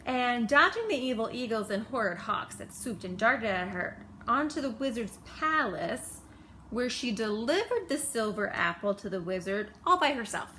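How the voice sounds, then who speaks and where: A woman reading a bedtime story aloud to children in an expressive storytelling style. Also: a little high, slight background noise, poor audio.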